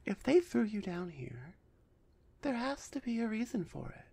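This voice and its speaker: A woman playing a big, haughty minotaur executioner in an ASMR roleplay audio drama, stern and dismissive, with a husky, deliberate delivery. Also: on the high side, big pitch swings, warm tone, full character voice. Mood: suspicious, stern.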